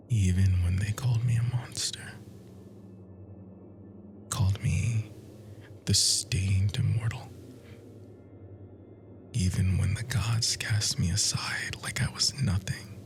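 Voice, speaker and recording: A man playing a bitter, obsessive immortal monster spurned by a lover, in a breathy, theatrical ASMR roleplay monologue. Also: deep voice, heavily acted. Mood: aching reproach. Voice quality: breathy, hushed, whispery. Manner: slow, menacing, theatrical, intimate.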